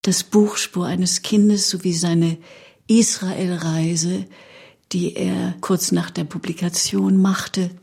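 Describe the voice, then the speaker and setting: Slow, husky woman with a creaky voice. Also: on the low side, level tone, darkish tone. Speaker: author, interviewee. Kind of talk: reflective interview recollection.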